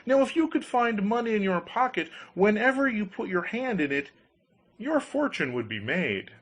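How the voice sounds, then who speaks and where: A man's voice: high voice, sing-song, moderate pace, a bit bright, pitched above the performer's own voice, heavily acted. A man voicing a sly, jovial scissor grinder in a storybook tale, hearty and persuasive. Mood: coaxing. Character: sly, jovial male scissor grinder, smooth-talking tradesman. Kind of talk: storybook character voice.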